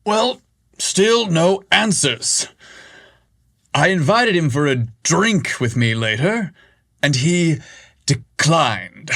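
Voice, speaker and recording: A man voicing a brash, eager adult man who talks loudly and theatrically. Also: a little high, animated, slow, pauses often, no echo, clean studio sound, voice pushed up, full character voice. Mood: melodramatic. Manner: loud, theatrical, exasperated.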